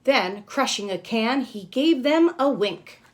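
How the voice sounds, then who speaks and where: A woman's voice: a little high, expressive, takes it slowly. Woman teacher explaining simply to young children. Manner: explains simply, asks rhetorical questions, checks understanding. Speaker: kindergarten teacher. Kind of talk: children's lesson and picture-book read-aloud.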